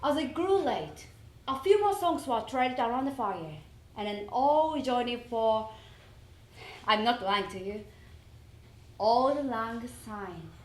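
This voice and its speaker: High-voiced woman storyteller in a roomy space. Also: animated, takes it slowly, pauses often. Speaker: readers theater performer. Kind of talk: dramatic storytelling recitation of a letter. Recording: roomy echo, faint background noise.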